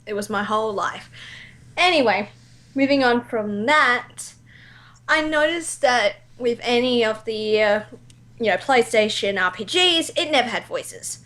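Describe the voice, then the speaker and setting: Higher-pitched young Australian woman, chatty storyteller. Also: lively intonation, a little slow, fairly bright tone. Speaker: amateur voice actor, interview guest. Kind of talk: casual anecdotal chat.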